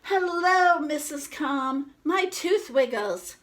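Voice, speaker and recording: A woman voicing an excited, friendly little girl in a storybook, calling out greetings. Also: high-pitched, takes it slowly, pitched above the performer's own voice.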